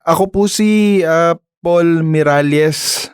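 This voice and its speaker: A bashful, flattering young man in a radio drama. His voice is smooth and fairly high for a man. He speaks slowly and politely, with hesitant pauses, very close and dry. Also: no echo. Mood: hesitant, admiring. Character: shy, flattering young son of a vessel owner.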